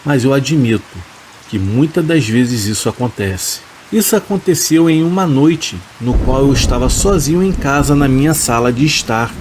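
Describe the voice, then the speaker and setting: A man calmly reading first-person horror stories in a steady, scripted narration. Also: mid-range voice, normal speed. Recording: faint rain and hiss behind the voice. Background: rain sounds.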